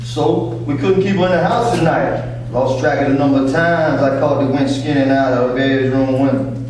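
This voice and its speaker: A man's voice: medium pitch, fairly even intonation, normal speed, darkish tone, lightly acted. A man playing a surly, half-drunk poor rural father with a Southern American accent in a staged play reading. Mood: resentful. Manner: grumbling, folksy. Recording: echoey hall, distant microphone, faint noise.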